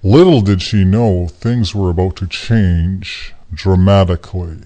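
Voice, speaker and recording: A man narrating a scripted radio play as a measured storyteller. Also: deep voice, mellow.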